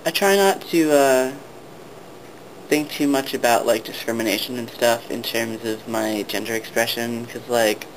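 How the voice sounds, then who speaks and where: A man's voice: medium pitch, unhurried. Hesitant young man, noisy background. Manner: hesitant, many ums and restarts. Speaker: vlogger. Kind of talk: casual talk to camera. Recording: steady background noise.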